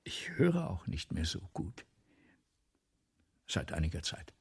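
An actor playing an ailing, embittered, self-dramatizing playwright in a German radio play, speaking theatrically in rhetorical repetitions, with a husky, gravelly voice that drops to whispers. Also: male voice, low-pitched, big pitch swings, slow, full character voice. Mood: plaintive, fearful. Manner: theatrical, rhetorical, building repetitions then sudden hushes.

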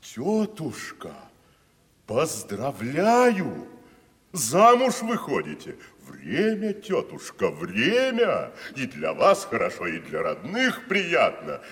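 An actor playing a grandiose, declamatory wandering tragedian in a 1970s radio play. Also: male voice, medium pitch, huge pitch swings, takes it slowly, fairly bright tone, exaggerated voice. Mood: mock-festive. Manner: theatrical, declamatory, mock-solemn. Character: grandiose wandering tragic actor, man, middle-aged. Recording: reverberant studio.

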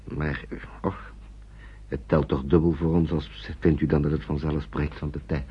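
Very deep, courteous weary gentleman. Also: level tone, moderate pace, husky, exaggerated voice. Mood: grateful, polite. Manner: formal, hesitant, repeating himself. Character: courteous weary older Englishman fleeing with children in wartime. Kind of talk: radio drama acting, naturalistic. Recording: dull old radio play recording.

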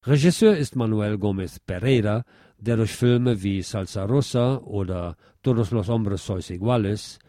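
Guy doing a scripted movie review on radio, relaxed dark tone, super dry close sound, measured. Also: lowish voice, no echo. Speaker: film critic and radio show presenter. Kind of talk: scripted film review narration.

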